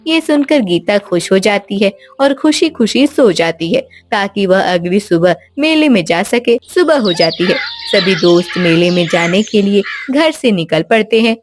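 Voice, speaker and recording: A woman's voice: medium pitch, animated, a little slow, hardly any pauses, background noise. A woman narrator reading a scripted moral story for young listeners. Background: background music.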